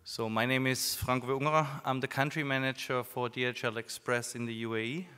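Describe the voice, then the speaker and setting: A man with a German accent giving a business presentation at a conference, speaking in a measured way with fillers. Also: medium pitch, steady tone, bright, forceful tone, clear, resonant tone. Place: conference hall. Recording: reverberant hall, PA.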